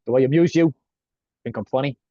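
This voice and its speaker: A man's voice: mid-range voice, mellow, dry recording, dull audio. Chatty, swearing coaching man. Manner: chatty, swears freely, jokey asides. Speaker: personal branding coach. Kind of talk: casual group coaching talk.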